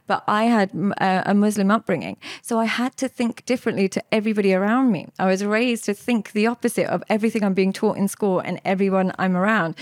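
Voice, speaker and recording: Young London-accented female guest, chatty and explanatory, mid pitch, close dry sound. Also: no echo. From London, England. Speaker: podcast guest, relationship therapist. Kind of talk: conversational interview.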